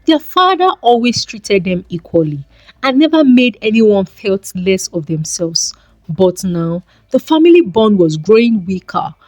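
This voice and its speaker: Expressive woman telling a folktale. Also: medium pitch, dry recording. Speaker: storyteller. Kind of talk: scripted folktale narration.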